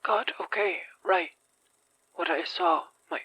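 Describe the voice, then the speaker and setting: A woman playing a shaken, hesitant fire survivor in an audio horror drama, hoarse and breathy, speaking haltingly and apologetically. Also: lowish voice, sharp tone, exaggerated voice, rough recording. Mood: hesitant, weary.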